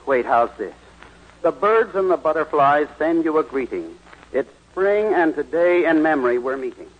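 A meek, harried suburban husband in a vintage radio comedy. His voice is husky and fairly high, swinging up and down as he protests in an earnest, flustered way. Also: sing-song, normal speed, dull audio. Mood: fretful. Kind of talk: 1940s radio comedy acting.

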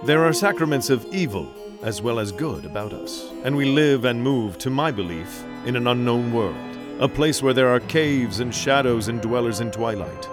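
An actor playing a portentous, earnest announcer in an old-time radio drama. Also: male voice, medium pitch, lively intonation, moderate pace, hardly any pauses, natural voice. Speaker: radio announcer and sponsor host. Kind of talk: 1930s radio announcing, melodramatic. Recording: music behind the voice.

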